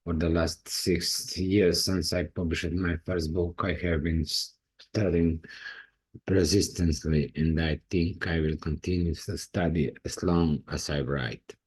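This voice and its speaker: Man, croatian accent, deep voice, slow careful english, husky tone. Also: steady tone. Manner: halting, non-native phrasing with restarts. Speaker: indie author. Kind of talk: personal talk to camera.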